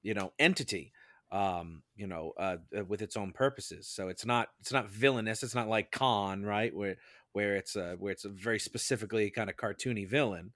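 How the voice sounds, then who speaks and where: Male podcast juror, fairly low pitch, dry close recording, measured, halting, casual delivery. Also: a bit bright, no echo. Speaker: podcast juror, jury foreman. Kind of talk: casual film discussion.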